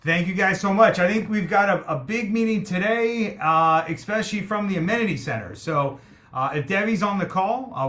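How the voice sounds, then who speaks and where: A man's voice: fairly high pitch, bright, forceful tone. A man hosting a community town hall on a video call, welcoming people and talking with many ums and uhs. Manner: friendly, filler-heavy, welcoming. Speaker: meeting host. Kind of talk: online town hall moderation.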